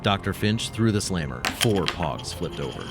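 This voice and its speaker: Deep-voiced male story narrator. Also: very animated, normal speed, over music.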